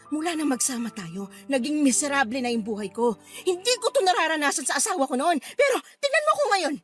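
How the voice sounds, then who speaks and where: A high-pitched, feisty Filipino mother in a radio drama. She scolds combatively, her voice swinging widely, with faint music behind her. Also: a bit bright. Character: feisty, fed-up scavenger mother berating her drunkard partner.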